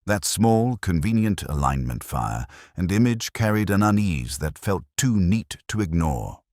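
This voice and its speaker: Very low male voice, lively intonation, brooding literary narrator, measured mystery storytelling. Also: dry recording, studio quality. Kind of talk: scripted first-person detective story narration.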